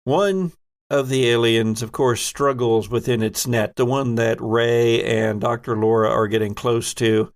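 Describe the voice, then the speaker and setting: A man's voice: medium pitch, fairly even intonation, a little slow. A man running a tabletop horror game as game master, narrating in a descriptive, suspenseful way.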